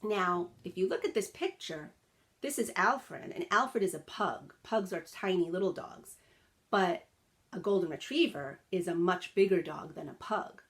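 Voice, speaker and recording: A woman reading a picture book aloud like a teacher, expressive and sympathetic, adding simple explanations for children. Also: on the low side, unhurried.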